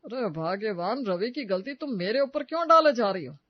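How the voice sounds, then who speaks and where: A man voices an elderly grandfather, reasonable and gentle, in an audio story. His pitch is high for a man, and the recording is dry and slightly muffled. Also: lively intonation, moderate pace, dry recording. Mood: resigned. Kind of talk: dramatised dialogue in an audio story.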